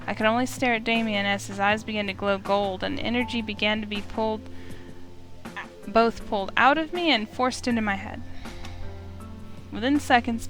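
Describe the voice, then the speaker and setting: A woman's voice: fairly high pitch, fairly bright tone. A woman streamer reading a visual novel aloud as dramatic first-person narration. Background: faint music.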